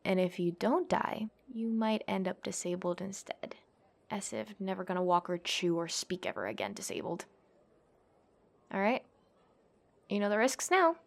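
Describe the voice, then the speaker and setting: A woman's voice: medium pitch, sing-song, normal speed, vocal fry, pauses often. A young woman playing a tough, cocky tsundere classmate who fights MMA, brash, bossy and teasing, in an ASMR roleplay. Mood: menacing, cocky. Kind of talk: ASMR girlfriend roleplay acting.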